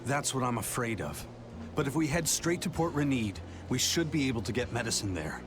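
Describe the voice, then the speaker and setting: An actor playing a responsible pirate ship's officer in a video game, commanding and matter-of-fact. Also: male voice, mid-range voice, big pitch swings, brisk, music in the background, natural voice. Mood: grave, urgent.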